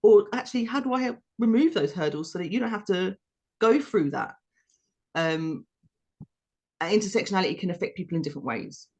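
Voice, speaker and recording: A British woman co-hosting a podcast, explaining her views in a measured, reflective way and building her points step by step. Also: mid-range voice, expressive, darkish tone, husky. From United Kingdom. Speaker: podcast co-host.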